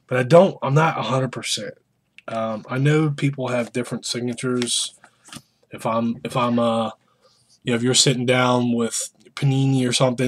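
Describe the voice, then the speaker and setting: A man in his thirties with a North American accent, chatting casually to camera in a rambling, self-deprecating way. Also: mid-range voice. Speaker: vlogger showing autograph mail. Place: small indoor room full of collectibles.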